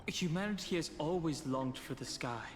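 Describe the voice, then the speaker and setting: A man's voice: on the high side, animated, normal speed, a little hiss or hum, lightly acted. A man voicing an earnest young male outsider who speaks directly and firmly. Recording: game audio with reverb and music.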